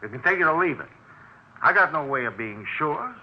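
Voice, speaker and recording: An actor playing a surly, defensive landlord in a 1950s radio drama, gruff and evasive, with folksy ungrammatical speech. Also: male voice, fairly high pitch, sing-song, brisk. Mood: irritable, defensive. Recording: dull noisy 1950s radio recording.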